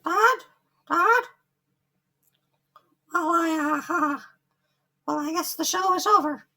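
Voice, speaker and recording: A woman's voice: very high voice, takes it slowly, bright, forceful tone, distant mic, heavily acted. A woman voicing a small animatronic beaver boy in an audio drama, naive and anxious, stammering and easily flustered.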